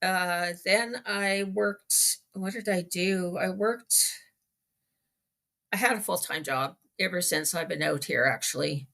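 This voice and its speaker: Slow, creaky middle-aged Canadian woman. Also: fairly low pitch, fairly bright tone. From small-town Manitoba, Canada. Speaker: interview guest. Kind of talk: casual personal storytelling.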